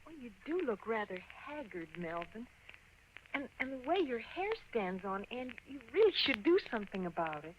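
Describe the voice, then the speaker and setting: An actress playing a prim, easily offended fiancée in a 1950s radio comedy, proper and scolding. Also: female voice, on the high side, big pitch swings, moderate pace. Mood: cool reproach. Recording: dull, hissy old radio broadcast.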